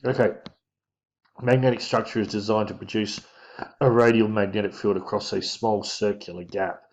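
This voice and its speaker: A man giving an informal physics lesson, talking through diagrams in a casual, halting way as he thinks aloud. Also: on the low side, steady tone. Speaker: physics teacher. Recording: dull lo-fi microphone sound.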